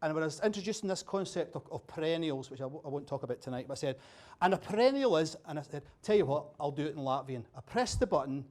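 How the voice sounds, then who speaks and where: High-voiced Scottish man joking with a crowd. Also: expressive, fast, few pauses, darkish tone. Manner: chatty, jokey, quizzing the audience. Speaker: keynote speaker. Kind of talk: humorous after-dinner talk. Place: dinner venue with a bar.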